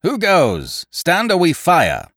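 A man's voice: mid-range voice, wildly swooping pitch, takes it slowly, no echo, studio quality, pitched above the performer's own voice. Man acting Captain Smollett, stern barking commander. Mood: challenging. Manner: clipped, commanding, scornful.

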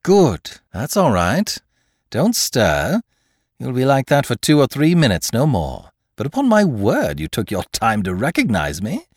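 A man voicing a suave gentleman thief in an audiobook, jaunty, mocking and grandly courteous. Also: mid-range voice, huge pitch swings, unhurried, vocal fry, no echo, studio quality, full character voice.

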